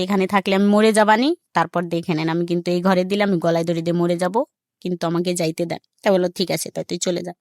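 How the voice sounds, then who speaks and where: A woman's voice: medium pitch, no room sound. A middle-aged woman with a regional Bangladeshi accent, telling her own story informally and colloquially as a studio guest, often quoting dialogue. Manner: plain colloquial telling, quotes reported dialogue. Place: radio studio.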